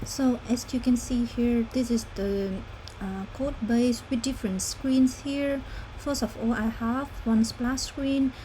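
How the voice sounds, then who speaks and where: A young woman from Vietnam, walking through a demo of her student app in a careful, halting way with many fillers. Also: on the high side, steady tone, mellow, slight background noise, low-quality recording. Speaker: student intern presenting an app. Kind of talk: screen-recorded app demo walkthrough.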